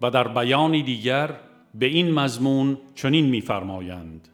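A man reads a religious book aloud as an audiobook narrator, at a middle pitch. His delivery is formal and measured, set out phrase by phrase. Music plays along with his voice.

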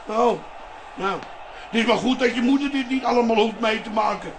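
A man's voice: very high voice, moderate pace, crowd noise. An older male actor playing a grumpy, stubborn old father in a radio drama. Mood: indignant grumbling. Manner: grumbling, stubborn. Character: grumpy, cantankerous old father living with his son.

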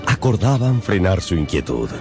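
A man's voice: low-pitched, very animated, moderate pace, creaky. A man narrating a radio drama in a dramatic, scripted storytelling style. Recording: music behind the voice.

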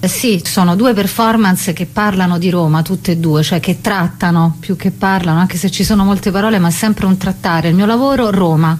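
A woman's voice: lowish voice, moderate pace, few pauses, a little mellow, slight background noise. A woman interviewed as a playwright and actress, explaining conversationally and emphatically, rephrasing and piling up short phrases.

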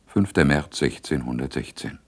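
An actor playing a grave announcer of historical decrees in a 1960s radio play, formal and solemn. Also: male voice, rumbling low, animated, moderate pace, gravelly, noisy background, exaggerated voice.

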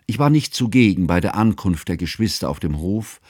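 A man playing a learned, devoted friend who narrates a radio drama in formal, ornate, measured literary style. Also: low voice, lively intonation, a little mellow, dry recording, clean studio sound. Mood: sober, measured.

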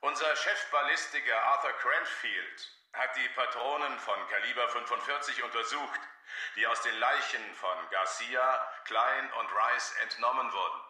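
An actor playing an official police spokesman making a formal, measured announcement in a radio drama. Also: mid-range voice, pushed and bright. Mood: official, grave. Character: official male police spokesman at a televised press conference. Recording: echoing press room sound.

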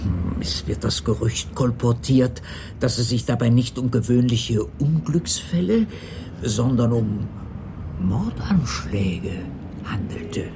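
Hesitant, fussy porter, noisy ambience. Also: male voice, medium pitch, expressive, slow, gravelly. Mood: hesitant, hushed. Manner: hesitant, polite, formal, breaking into hushed tones. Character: timid, fussy male nursing-home porter and secretary. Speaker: actor in a crime radio play. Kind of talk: 1980s German crime radio drama acting. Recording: studio radio play with noisy background ambience.

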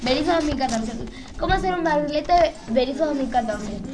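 Argentine woman, very high voice, casual radio host with an informal, halting delivery. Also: animated. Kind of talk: casual live radio chat.

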